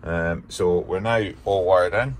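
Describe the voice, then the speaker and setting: A man giving an informal hands-on tutorial, hesitant and thinking aloud as he works. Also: low voice. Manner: unhurried, hesitant, thinking aloud while working. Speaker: hobbyist presenter of a workshop how-to. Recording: noticeable background noise while working.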